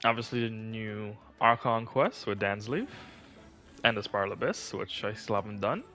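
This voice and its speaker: A man's voice: fairly low pitch, normal speed, sharp tone, faint music behind. A man streaming a video game, chatting casually and thinking aloud with questions to himself. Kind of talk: casual gameplay commentary.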